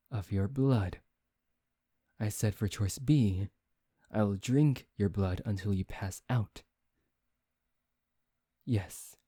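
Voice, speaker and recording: A teasing, seductive male vampire, acted by a man for an ASMR roleplay. The voice is dark and mellow and moves slowly, with intimate, formal phrasing. It sounds very dry and close. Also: on the low side, breathy edge, no echo, heavily acted. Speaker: voice actor.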